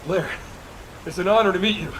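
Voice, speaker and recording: A man's voice: high-pitched, brisk, a bit bright, a little hiss or hum. An actor playing an earnest young male soldier in a video game, strained and grateful, in distant echoing audio. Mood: relieved, awed.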